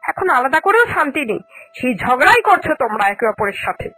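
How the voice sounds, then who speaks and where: A woman's voice: high-pitched, big pitch swings, quick pace, sharp tone, exaggerated voice, low-quality recording. A woman voicing an aggrieved elderly mother-in-law in a cartoon, speaking in a plaintive, lamenting way. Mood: pleading reproach. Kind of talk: Bengali cartoon dialogue acting.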